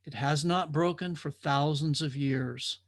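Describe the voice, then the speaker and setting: Old male sage for a storybook parable, played by a male narrator: solemn, calm, terse, touched by sadness, very dry recording. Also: on the high side, no room sound. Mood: calm, earnest. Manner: terse, grave, unhurried delivery.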